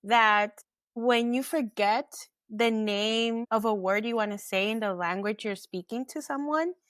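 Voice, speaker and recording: A woman's voice: on the high side, unhurried, a bit bright, dry recording, studio quality. A woman host whose first language is Spanish, talking reflectively and chattily in English in a casual conversation. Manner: reflective and chatty, many "like" fillers. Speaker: podcast host.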